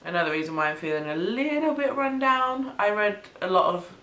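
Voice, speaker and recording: A young woman from the UK, chatting casually in a home vlog, sniffly from a cold, with frequent ums and soft laughs. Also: medium pitch, animated, brisk. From United Kingdom. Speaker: vlogger. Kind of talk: casual pregnancy update vlog. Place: small bedroom or home studio, indoors. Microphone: camera mic, head-and-shoulders framing. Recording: echoey room.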